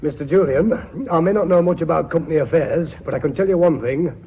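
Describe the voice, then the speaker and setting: British male director: plain-spoken indignation, rasping voice, a little high, radio drama. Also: fast talker. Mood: indignant. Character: plain-spoken, indignant company board member. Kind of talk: BBC radio drama acting. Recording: muffled phone-like radio recording.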